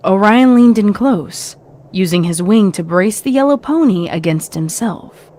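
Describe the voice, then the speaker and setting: A woman's voice: mid-range voice, lively intonation, unhurried, a little mellow. A woman reading scripted fiction as a narrator, in a dramatic storytelling style.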